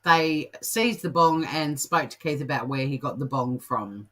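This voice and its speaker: Low-voiced woman, jokey co-host. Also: measured pace, a bit bright. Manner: jokey, amused. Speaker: co-host of a comedy news show. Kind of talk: casual chat and reading out odd news.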